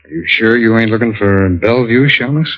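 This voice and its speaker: An actor playing a brusque, dismissive gangster club boss with tough slangy talk in an old radio noir drama. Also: male voice, fairly low pitch, brisk, natural voice. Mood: brusque, guarded. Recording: muffled vintage radio recording.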